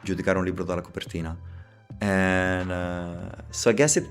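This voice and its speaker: A low-pitched man with an Italian accent chats casually on a live chess stream. He sounds a bit tired and talks his thoughts out loud, full of "like" and "you know" fillers. Soft music plays faintly behind him. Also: fairly even intonation, moderate pace. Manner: thinking aloud, chatty, many fillers like "you know" and "like". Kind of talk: casual live-stream chat while playing.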